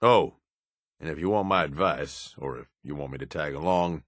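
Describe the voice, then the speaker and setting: Deep, gruff American raider. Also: male voice, moderate pace, dry recording, studio quality. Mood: firm. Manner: gruff, folksy, plain-spoken tough talk. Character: tough, cynical middle-aged male raider, shrewd and pragmatic. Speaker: companion character in a video game. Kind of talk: acted video game dialogue.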